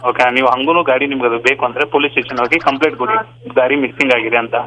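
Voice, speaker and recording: A man's voice: mid-range voice, fairly even intonation, racing, a crowd in the background, low-quality recording. A man answering a loan question on the phone in a blunt, impatient manner. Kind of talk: phone conversation.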